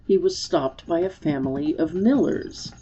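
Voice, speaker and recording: Woman reading a story aloud, dark soft-edged voice, expressive, slightly muffled lo-fi recording. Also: mid-range voice, unhurried, gravelly, husky. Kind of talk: dramatic storybook narration.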